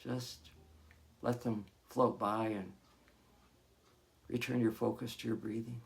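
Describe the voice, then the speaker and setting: A man leading a guided meditation as a hypnotherapist, giving gentle, soothing instructions with long pauses between phrases. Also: medium pitch, steady tone, creaky.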